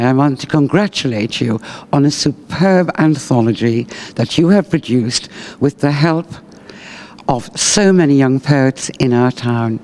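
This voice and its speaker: A man's voice: mid-range voice, a little mellow. A man speaking as an interviewer in a slightly formal, prepared way.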